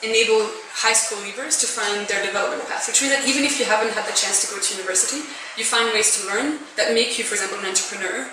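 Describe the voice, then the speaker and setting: A woman's voice: medium pitch, fast talker, few pauses. A young woman from Belgium who grew up in France, an enterprise founder explaining her story earnestly in an informal interview. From born in Belgium, grew up in rural France. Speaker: social enterprise founder and managing director being interviewed. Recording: very echoey room, distant mic, background noise.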